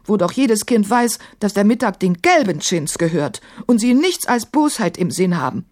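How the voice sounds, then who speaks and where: An old royal grandmother from a storybook, voiced by a woman, sounds sharp-tongued and disdainful. Her pitch rides high as she mocks and scorns. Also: big pitch swings, moderate pace, close to an everyday voice. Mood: scornful. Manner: scornful, mocking.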